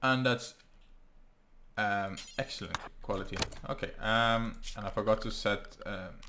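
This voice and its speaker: A young man with a Norwegian accent, English not his first language, casually talking through his gameplay and thinking aloud with frequent ums and pauses. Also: on the low side, noisy background. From Norway. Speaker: gaming channel host. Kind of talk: let's play commentary.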